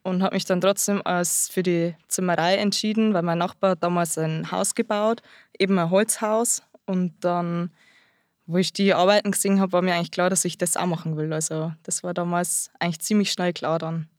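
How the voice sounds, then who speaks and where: Young woman, nineteen, lowish voice, hesitant, lots of fillers, dry close mic. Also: steady tone, fairly quick, dry recording. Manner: hesitant, many fillers and restarts. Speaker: guest, apprentice-trained carpenter. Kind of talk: casual interview answers.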